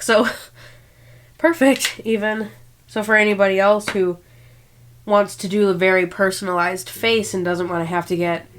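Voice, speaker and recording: A woman in her twenties with a stuffed-up cold voice, chatting casually and self-deprecatingly while doing her makeup. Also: on the low side. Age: 20s. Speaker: beauty vlogger. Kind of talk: casual makeup tutorial talk. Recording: music bed under the voice.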